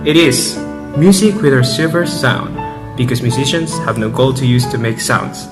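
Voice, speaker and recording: Brash, teasing servant for amateur radio drama, male actor, medium pitch, clear music bed. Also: normal speed, natural voice. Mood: taunting. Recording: music playing behind the voice.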